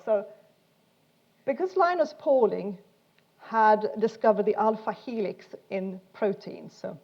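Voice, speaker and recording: Woman lecturing, slightly dull sound. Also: medium pitch. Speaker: speaker giving a talk. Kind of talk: informal illustrated science lecture.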